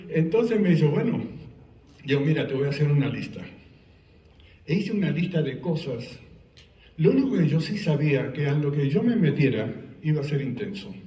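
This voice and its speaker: A man's voice: fairly high pitch, dark tone. A man from Argentina with an Argentine Spanish accent, telling personal stories in a conversational way to a live audience and joking with them. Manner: conversational storyteller, jokes with the audience. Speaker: speaker giving a talk. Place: event hall before an audience. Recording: echoey hall, recorded from a distance.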